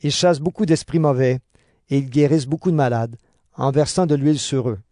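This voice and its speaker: A man giving scripted narration for an audio Bible drama in a measured storytelling manner. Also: medium pitch. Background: background music.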